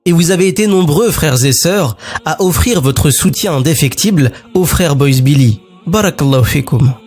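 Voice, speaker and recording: A man's voice: fairly high pitch, animated, moderate pace, a little mellow, husky. A man narrating a scripted communiqué in a formal, polemical, declamatory way, as for an online religious channel. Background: music.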